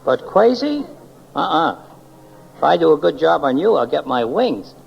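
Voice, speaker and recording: A eccentric angel, centuries old, speaks in a high, creaky voice with lively swoops. He is fussy and formal, fond of ornate wording, in the manner of a vintage 1940s radio fantasy. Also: normal speed, heavily acted. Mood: earnest, chatty. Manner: pompous, wordy, formal. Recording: muffled, noisy old 1940s radio recording.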